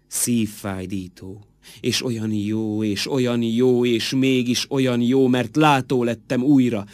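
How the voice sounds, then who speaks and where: A man reciting poetry in an expressive, solemn, measured manner with drawn-out phrases. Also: mid-range voice, no echo.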